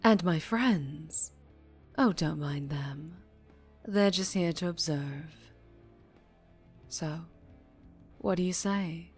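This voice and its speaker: A woman playing a dangerously charming, teasing mafia boss in an ASMR roleplay, speaking deliberately and politely with veiled menace. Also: low voice, darkish tone, slightly rough, faint music behind, heavily acted. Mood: playful, inviting.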